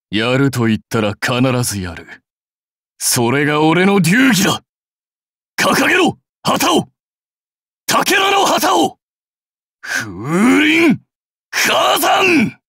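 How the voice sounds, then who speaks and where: An actor playing a proud, fierce warlord general in a mobile game, terse, rough and commanding. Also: male voice, mid-range voice, big pitch swings, measured pace, a bit bright, no echo, studio quality. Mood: fierce battle shouting. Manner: terse, rough, declamatory delivery. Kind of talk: anime-style mobile game battle and dialogue voice lines.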